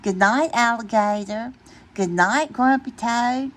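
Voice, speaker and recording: A woman's voice: on the high side, takes it slowly, voice pushed up. A woman voicing a cool, laid-back young male cat in a children's storybook, easygoing and given to exclaiming. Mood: calling out.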